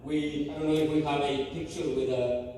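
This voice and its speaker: A man from Guatemala with a Guatemalan Spanish accent, a native Spanish speaker, giving a halting church testimony full of fillers and restarts. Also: a little high, fairly even intonation, dark tone, a little hiss or hum. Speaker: mission team member giving a church report. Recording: echoey church hall from far off.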